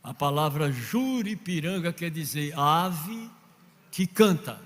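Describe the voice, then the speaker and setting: A man preaching a sermon from Northeast Brazil, telling stories, acting out quoted voices and building to shouts. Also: on the high side, expressive, slow. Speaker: pastor. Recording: long reverb of a large hall.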